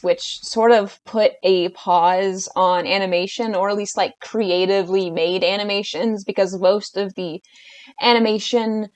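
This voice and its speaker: A woman's voice: mid-range voice, darkish tone. Chatty enthusiastic woman ranting casually. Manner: chatty and enthusiastic, hesitant with restarts. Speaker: YouTuber. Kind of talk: casual video-essay rant.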